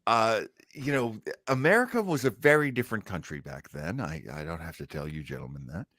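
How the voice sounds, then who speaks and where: A man's voice: on the low side, lively intonation, fairly quick, fairly bright tone, no room sound. Dry-sounding man hosting a casual chat. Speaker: podcast host. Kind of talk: casual chat, introducing old radio shows.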